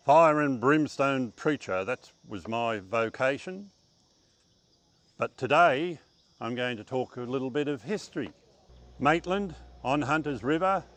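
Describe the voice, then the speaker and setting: A man playing a theatrical old-time preacher. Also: medium pitch. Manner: theatrical, declaiming. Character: flamboyant fire-and-brimstone preacher, grown man. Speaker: costumed historical preacher. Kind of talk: history talk in character.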